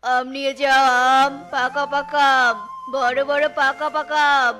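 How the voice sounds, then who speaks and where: Woman voicing a high-pitched, boastful cartoon crow. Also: level tone, measured pace, pushed and bright, faint music behind. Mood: eager, hawking. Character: selfish, boastful male cartoon crow, greedy elder brother. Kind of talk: children's cartoon dubbing.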